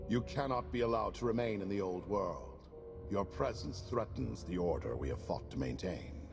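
Theatrical, menacing cult leader, wide-swinging pitch. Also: male voice, animated, over music. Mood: cold disdain. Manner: theatrical, imperious, deliberate. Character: fanatical, menacing male cult leader of a gang. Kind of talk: video game cutscene acting.

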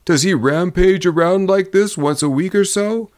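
Man acting a high-pitched, excitable travelling salesman. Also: close to an everyday voice. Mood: anxious, questioning. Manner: excitable, rapid-fire questioning. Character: jocular city salesman, talkative, growing bewildered and anxious. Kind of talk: storybook character voice in a Western tale.

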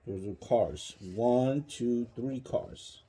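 A man reads a children's counting book at an unhurried pace. His voice is soft-edged and mellow, a little husky, and he patiently puts questions to a young listener. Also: mid-range voice. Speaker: parent reading a bedtime story to a child. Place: small child's bedroom, indoors.

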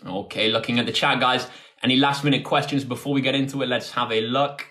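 A British man in his twenties speaks at a medium pitch. He sounds like a tutor running a casual live session, chatty and informal, addressing his viewers directly as he takes their questions. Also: steady tone, fast talker, pushed and bright. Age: 20s. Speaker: maths tutor hosting a livestream. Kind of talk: casual livestream chat, answering viewer questions. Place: indoors, close to a phone on a tripod.

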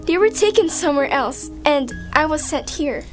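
A woman's voice: high voice, animated, moderate pace, faint music behind. A woman playing a frightened, newly jailed young woman, anxious and pleading, in an amateur radio drama.

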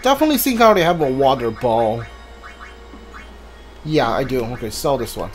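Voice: mid-range voice, animated, brisk. A video game streamer giving casual playthrough commentary, muttering to themselves while thinking aloud. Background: game music.

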